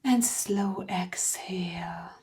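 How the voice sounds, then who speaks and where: Slow, mellow woman guiding a meditation. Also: fairly low pitch, poor audio. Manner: soothing, hushed, breathy phrase endings. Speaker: meditation guide. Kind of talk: slow guided meditation, scripted.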